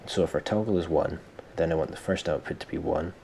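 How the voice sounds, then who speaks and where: A man presenting a software tutorial step by step, informally thinking aloud as he explains. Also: extremely low pitch, fast, vocal fry, a little hiss or hum. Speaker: tutorial host.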